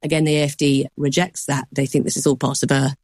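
Woman reporter walking through a news story, low voice, careful hedging, dead dry sound. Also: level tone, a little mellow, dry recording. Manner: measured, explanatory, hedging with qualifiers. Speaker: news correspondent. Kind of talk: news explainer.

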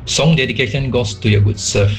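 A man from Sarawak sends a voice note to a radio show, reading a long list of names in a steady, measured way. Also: mid-range voice, gravelly, soft background music. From Sarawak, Malaysia. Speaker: listener sending a voice note.

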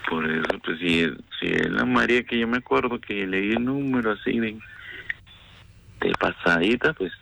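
A man's voice: lowish voice, moderate pace, creaky, background noise. A man chatting casually and a little flirtatiously on a phone call, hesitant and often questioning.

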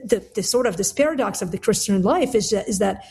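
Gravelly, husky woman from Lebanon. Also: mid-range voice, animated, brisk, mellow. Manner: reflective, earnest, speaks in long flowing thoughts. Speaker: guest author. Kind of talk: radio talk show conversation.

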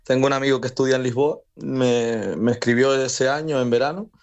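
A man's voice: mid-range voice, fairly quick, muffled recording. Rambling young man, easygoing guest. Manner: relaxed, rambling with fillers and restarts. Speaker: guest former footballer. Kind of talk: phone interview on radio.

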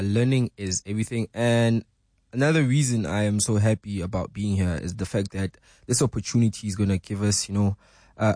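A man's voice: lowish voice, darkish tone, no room sound, studio quality. A man with a South African accent, a community radio presenter, talking hesitantly with many fillers. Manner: hesitant, many "uh" and "you know", laughs. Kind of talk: casual chat.

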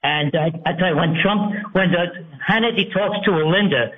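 A male caller with a New York accent, calling from his porch at home and telling a story in an animated, colloquial way. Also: sits high, moderate pace. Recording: tinny phone line.

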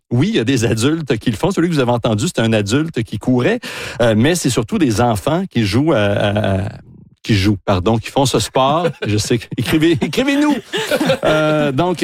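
A man's voice: mid-range voice, slightly rough, no echo, clean studio sound. A man with a Quebec French accent, a radio columnist explaining a quirky topic in casual chat, amused and chuckling as he goes. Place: radio studio.

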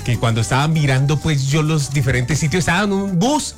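A male radio host from Colombia tells a story in a relaxed, unhurried way. His voice sits a little high, with faint music behind him. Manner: easygoing and anecdotal. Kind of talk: casual radio chat.

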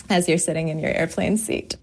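Woman author as interview guest, low voice, thoughtful, articulate, easy laughs. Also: normal speed, a little mellow, slightly rough, poor audio. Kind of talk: radio interview.

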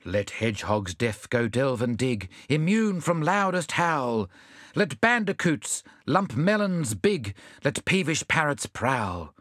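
A man playing a high-minded storybook orator, declaiming rousing verse in a grandiose, rhythmic manner. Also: a bit bright, dry recording, studio quality, heavily acted.

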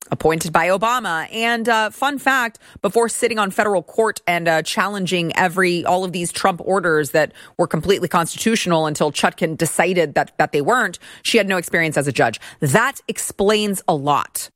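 A woman's voice: mid-range voice, a bit bright, no room sound. Woman doing a political opinion show, sarcastic, voice jumps up and down a lot, dry close sound. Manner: sarcastic and mocking. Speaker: political commentary host. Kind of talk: opinion commentary monologue.

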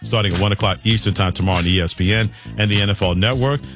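A man's voice: lowish voice, racing, over music. A man co-hosting a sports radio show, mixing punchy promo reads with banter.

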